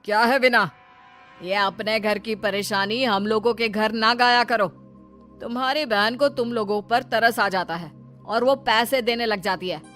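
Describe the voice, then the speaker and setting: A woman's voice: on the high side, a little slow, fairly bright tone, soft background music. A woman voicing a grumbling, disdainful husband in a family story. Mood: irritated. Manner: brusque, disdainful. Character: grumbling, disapproving husband of a well-off household.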